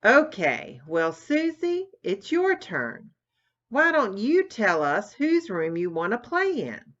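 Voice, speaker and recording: A woman voicing a calm, patient mother turtle in a storybook, gentle but firm. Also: medium pitch, slow pace, dull audio, heavily acted.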